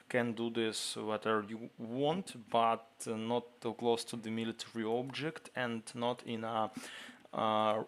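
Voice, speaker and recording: A man with a Ukrainian accent, a native Ukrainian speaker, hosting a casual livestream Q&A, reading viewer questions aloud and answering in halting English. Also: mid-range voice, fairly bright tone. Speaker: livestream host answering chat.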